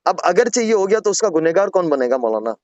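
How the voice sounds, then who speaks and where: A man's voice: sits high, big pitch swings, quick pace, darkish tone, clear, resonant tone, no room sound. A man from Gujarat pleads his case deferentially and earnestly as a caller in a private phone conversation. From Gujarat, India. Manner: deferential, earnest, repeatedly addressing the listener respectfully. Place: on the road, phone call. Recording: muffled, dull phone-call recording.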